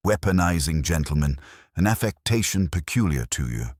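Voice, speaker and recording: A man's voice: sits low, very animated, normal speed, a little mellow, dry recording, studio quality. A man voicing a heavyset, imperious genius detective in an audiobook, with formal, pompous, precise phrasing. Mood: stern, exacting.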